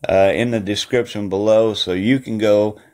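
A man giving a casual, unhurried review as a host, with halting and repetitive phrasing. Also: on the low side, fairly even intonation, a little mellow. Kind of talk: casual app review voice-over.

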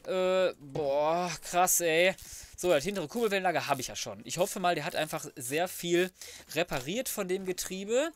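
A man's voice: sits high, animated, moderate pace. A young man gives casual gameplay commentary, thinking aloud in a colloquial, muttering, mildly grumbling way. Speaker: let's play host. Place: indoors, voice-over recorded apart from the game.

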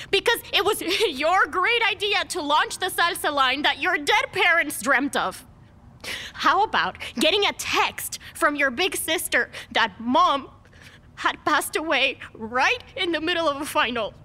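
An actress in her twenties playing a feisty, exasperated younger sister in an audio drama, sharp and quick to retort. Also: very high-pitched, heavily acted. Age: 20s.

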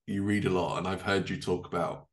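A man's voice: low voice, little pitch movement, a little fast. A man in his thirties interviewing in an informal, agreeable way over a video call. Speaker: podcast host, interviewer. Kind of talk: casual interview chat. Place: living room, indoors.

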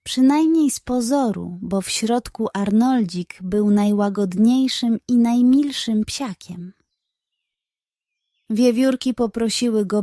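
A woman narrating a scripted children's animal tale in an expressive, deliberate storytelling manner. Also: medium pitch, no echo, studio quality.

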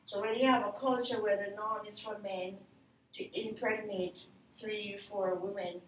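Older Jamaican woman, measured and earnest. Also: mid-range voice, steady tone. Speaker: interviewee. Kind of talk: reflective personal storytelling. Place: sunlit room by glass doors. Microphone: far camera. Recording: roomy, far from the mic, faint noise.

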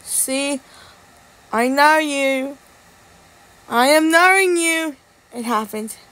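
Bewildered cartoon character, acted by a woman: unhurried, repetitive, high-voiced. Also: fairly bright tone, no echo, heavily acted. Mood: halting, wistful. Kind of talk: amateur character voice lines.

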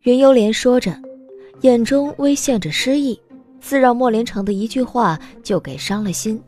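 A woman narrating a scripted audio drama in an expressive storytelling manner. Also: on the high side, normal speed. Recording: music bed behind the voice.

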